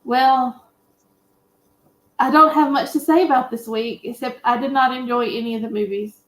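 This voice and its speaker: A woman's voice: high voice, steady tone, moderate pace. A woman panelist giving a candid, opinionated and colloquial film recap in casual chat. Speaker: panelist on a movie discussion show. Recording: roomy, echoey sound.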